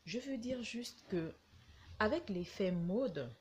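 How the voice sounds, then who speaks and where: A woman vlogger with a cold, talking casually to camera and giving her view with slow, hesitant, reflective phrasing. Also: mid-range voice, animated, dull audio, slight background noise. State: has a cold.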